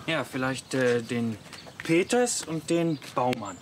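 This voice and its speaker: An actor playing a hesitant, agreeable sidekick with halting speech in a German radio comedy drama. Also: male voice, medium pitch, lively intonation.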